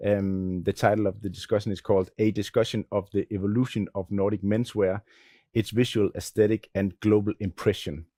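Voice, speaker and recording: A man's voice: lowish voice, little pitch movement, fairly quick, mellow, no echo, studio quality. A man hosting a fashion panel, friendly and hesitant, with many fillers. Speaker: panel moderator, magazine editor. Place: studio panel at a fashion event.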